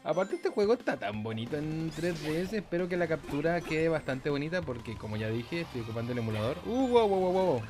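A man's voice: fairly high pitch, sing-song, fairly quick, hardly any pauses. A man with a Chilean Spanish accent giving casual, playful gameplay commentary, joking and swearing as he goes. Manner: playful, joking, sweary, with silly sound effects and singsong. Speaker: gaming YouTuber. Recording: game music behind the voice. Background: video game music.

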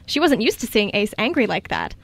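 A woman's voice: mid-range voice, sing-song, fairly quick, creaky, dry recording. A woman reading scripted story narration as the narrator of a radio drama.

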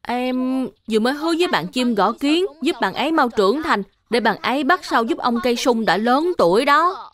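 A woman's voice: sits high, animated, a little fast, fairly bright tone. A woman voicing a helpful, earnest little boy in a dubbed children's cartoon, with a childlike manner. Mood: helpful, curious. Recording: music behind the voice.

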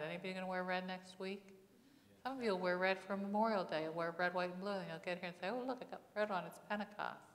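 A middle-aged woman pastor preaching in a church on a lapel mic, conversational, with frequent rhetorical questions. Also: fairly low pitch. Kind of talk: sermon. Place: church sanctuary. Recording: long church reverb.

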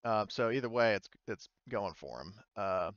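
Man, game master, lowish smooth voice, hesitant thinking aloud, dry slightly dull recording. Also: animated, normal speed, fairly bright tone, no room sound. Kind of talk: casual narrating of an online tabletop roleplaying game.

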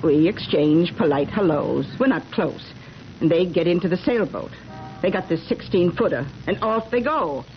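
An actress playing a determined, chatty middle-aged small-town busybody in a 1970s radio drama. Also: female voice, lowish voice, animated, moderate pace, faint music behind. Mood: insistent, suspicious. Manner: chatty, insistent. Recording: muffled, noisy vintage radio broadcast.

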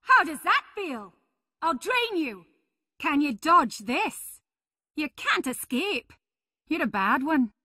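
An actress voicing a seductive, playful, teasing succubus demon in a fighting video game, with a sultry, flirtatious delivery. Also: female voice, sits high, big pitch swings, slow pace, bright, forceful tone, heavily acted. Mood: flirtatious, taunting.

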